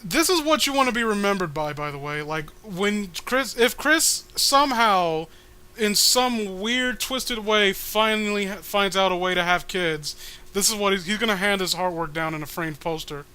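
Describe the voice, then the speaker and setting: A man with a sharp, forward voice set a little high talks in a loose, rambling way. He is a co-host giving mocking commentary, sarcastic and crude in his wording. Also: moderate pace, smooth. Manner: sarcastic, rambling, foul-mouthed. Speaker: co-host reading a webcomic aloud with commentary.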